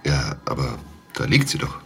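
An actor playing a calm, matter-of-fact male friend in an audio drama. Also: rumbling low, normal speed, creaky, faint music behind, heavily acted. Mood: dry.

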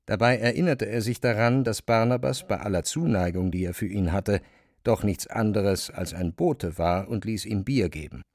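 Guy narrating a novel for a radio play, kinda low voice, dry room. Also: normal speed, a little mellow, no echo.

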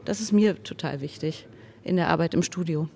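A woman's voice: sits low, normal speed, darkish tone. A woman radio drama director giving a reflective interview, thinking aloud and correcting herself as she goes. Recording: clearly noisy background.

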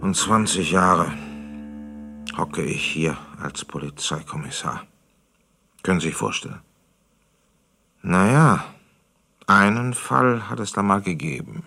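An actor playing a jaded, resigned provincial police commissioner in a 1960s radio crime drama, weary and understated. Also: male voice, deep voice, expressive, takes it slowly, creaky, long pauses, pushed and bright, soft background music, heavily acted.

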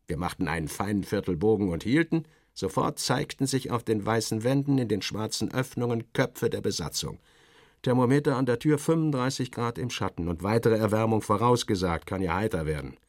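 A man narrating satirical first-person literary prose in a wry, expressive way with clipped phrasing. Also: lowish voice, fairly quick, no echo, studio quality.

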